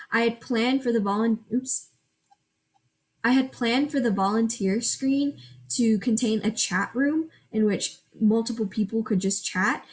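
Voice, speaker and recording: A teenage girl whose first language is Gujarati, reading a prepared school project presentation and walking through screenshots step by step. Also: a little high. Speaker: student presenter.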